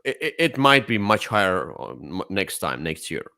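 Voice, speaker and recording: A man co-hosting a casual board game discussion, enthusiastic, with halting, repetitive phrasing. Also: low voice, big pitch swings, gravelly, sharp tone. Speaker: co-host.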